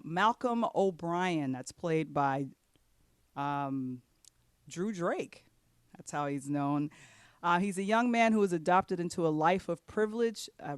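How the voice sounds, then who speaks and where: A man's voice: on the high side, smooth, dry recording. A man hosting a casual panel interview, asking questions and sometimes reading from notes. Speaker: interview host.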